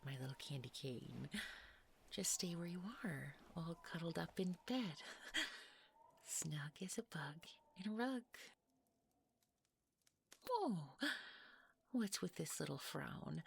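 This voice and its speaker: A woman playing a sweetly menacing, possessive Mrs. Claus in an intimate audio roleplay, cooing and teasing in a breathy, husky, often whispering voice. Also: low voice, heavily acted. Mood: mock sympathy. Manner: slow, cooing, teasing, condescending.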